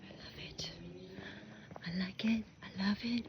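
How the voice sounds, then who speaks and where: An older woman chatting casually on a morning walk through her neighborhood, gushing and often soft and whispery. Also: mid-range voice, unhurried, muffled recording, a crowd in the background. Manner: chatty, gushing, softly spoken with breathy whispery stretches. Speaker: vlogger. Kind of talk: casual walking vlog. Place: outdoors on a neighborhood street.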